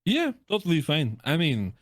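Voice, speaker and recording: A man's voice: a little high, huge pitch swings, moderate pace, a bit bright, no echo, studio quality. A man chatting in a casual, slangy, joking way as he reviews game voice lines. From Russia or Russian-speaking country. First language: Russian. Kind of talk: casual joking reaction chat.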